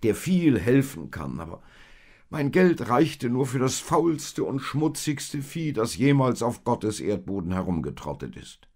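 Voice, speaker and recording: A man's voice: mid-range voice, big pitch swings, unhurried, darkish tone, pitched above the performer's own voice. A man voicing a poor, humble, weary husband in a storybook tale, earnest and hesitant. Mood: downcast. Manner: hesitant, subdued. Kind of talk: storybook character voice in narrated tale.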